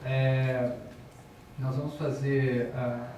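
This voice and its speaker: A man's voice: mid-range voice, little pitch movement, very slow. A man moderating an academic panel, introducing the speakers with hesitant fillers and self-corrections. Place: university auditorium. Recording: echoey lecture hall from far off, noisy.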